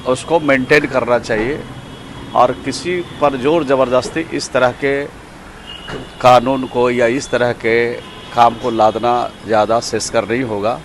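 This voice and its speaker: Slow-speaking man, outdoors. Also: medium pitch, fairly even intonation. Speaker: interviewee giving a press comment. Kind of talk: measured spontaneous remarks. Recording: faint outdoor street noise.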